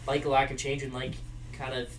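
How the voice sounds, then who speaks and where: A young man co-hosts a podcast, talking fast and throwing out opinions and jokes. He sounds a little distant from the microphone, with faint room noise behind him. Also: mid-range voice, completely flat delivery. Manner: quick, opinionated, joking. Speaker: podcast co-host. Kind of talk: casual podcast chat.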